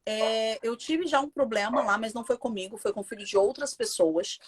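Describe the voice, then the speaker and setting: This Brazilian woman talks with a Brazilian Portuguese accent, with a somewhat high voice, and tells her story with emphatic indignation. She speaks casually, as a guest in an interview. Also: fairly quick, a crowd in the background.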